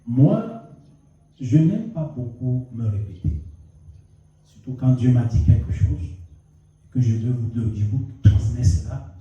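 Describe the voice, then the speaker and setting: Animated man preaching with a cold, echoey hall. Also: mid-range voice, slow, long pauses, muffled recording. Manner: animated preaching with storytelling, rising and falling emphasis. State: has a cold. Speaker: pastor. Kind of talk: sermon. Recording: echoey hall, mic at a distance.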